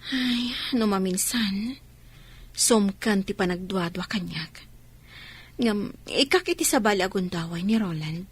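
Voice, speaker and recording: A woman acting in a radio drama as a hurt, yielding wife, soft and tentative with a husky voice. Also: medium pitch, a little slow. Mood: confiding. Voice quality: husky, breathy. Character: hurt, yielding wife reconciling with her husband.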